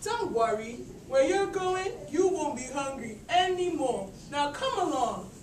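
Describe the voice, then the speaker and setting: An echoey, distant recording of a puppet policeman voiced by a woman. He speaks slowly and deliberately, a genial but grumbling authority figure in a children's show. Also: sits high, very animated. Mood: stern. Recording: distant echoey room with faint noise.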